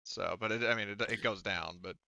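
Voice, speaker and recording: A man's voice: lowish voice, fairly even intonation, fairly quick, vocal fry, a crowd in the background. A man speaking as game master of a tabletop roleplaying game, casually profane, talking players through the rules. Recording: dull, lo-fi close dry voice track.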